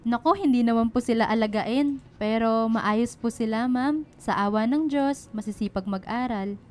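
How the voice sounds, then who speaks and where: A woman's voice: fairly high pitch, normal speed, a little mellow, soft background music, close to an everyday voice. A woman playing an honest, hardworking laundrywoman in a radio drama, earnest and polite. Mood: humble, grateful. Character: honest, hardworking, humble laundrywoman and single mother. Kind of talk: Filipino radio drama acting.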